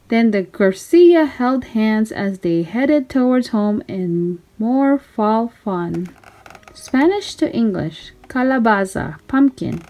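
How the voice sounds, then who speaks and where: A woman narrating a children's storybook aloud in an expressive, deliberate way. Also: on the high side, rough recording.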